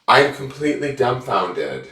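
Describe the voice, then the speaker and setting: A man with a stuffed-up voice, chatting casually as a tarot reader and rambling excitedly about the cards. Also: medium pitch, unhurried. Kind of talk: casual chat to camera. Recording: echoey room from far off, loud noise.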